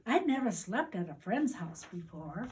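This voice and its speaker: An older woman, a grandmother, reading a children's storybook aloud in a deliberate, animated storytelling way. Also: medium pitch, a little hiss or hum.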